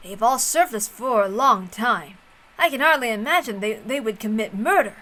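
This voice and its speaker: A woman reading a hesitant, defensive middle-aged elder brother in a visual novel, in a measured, halting way. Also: fairly high pitch, animated, bright, forceful tone. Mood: doubtful.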